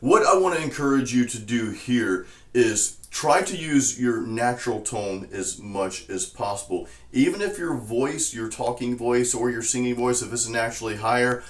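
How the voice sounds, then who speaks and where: A man with a naturally low speaking voice, a vocal coach walking students through a technique. He talks in a calm, explanatory way with an even, middle pitch, and the room adds a noticeable echo. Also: normal speed, few pauses. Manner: relaxed, explanatory, says "you know" often. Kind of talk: casual spoken tutorial. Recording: roomy echo.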